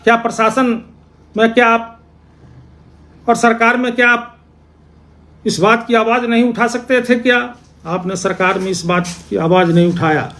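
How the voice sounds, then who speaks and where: A man's voice: very high-pitched, fairly even intonation, normal speed, long pauses, fairly bright tone. A man giving an accusatory political speech, posing rhetorical questions and repeating phrases for emphasis. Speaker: opposition party politician.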